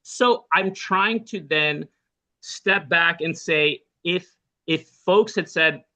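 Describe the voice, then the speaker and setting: Male host, higher pitch, bright forceful tone, argumentative. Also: slow. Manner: pushes back, argumentative. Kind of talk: political discussion.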